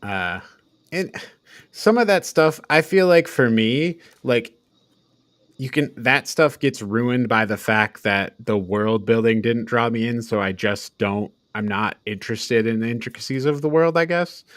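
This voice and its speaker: A man with an average-pitched voice gives his opinions as a co-host in a casual film chat. His manner is blunt and critical. The sound is dry, with no room on it. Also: a little slow, fairly bright tone, dry recording. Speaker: co-host of a movie review show.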